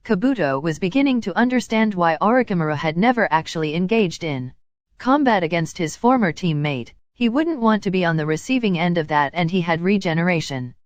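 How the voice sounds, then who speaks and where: Lower-pitched woman reading a story, dry sound. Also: lively intonation, brisk, dry recording, lo-fi sound. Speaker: audiobook reader of fan fiction. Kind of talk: scripted story narration.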